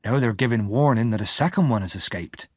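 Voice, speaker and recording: A man voicing a huge, kind, simple blacksmith who talks mildly and calmly. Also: fairly low pitch, brisk, no echo, studio quality. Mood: gentle. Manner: mild, calm.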